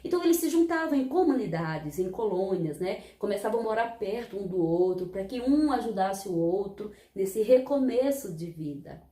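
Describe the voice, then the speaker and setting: Woman teaching children, mellow voice. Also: mid-range voice, expressive, normal speed, hardly any pauses, reverberant. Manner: patient, explanatory, asks the class rhetorical questions. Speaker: primary school teacher. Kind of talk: recorded history lesson for children.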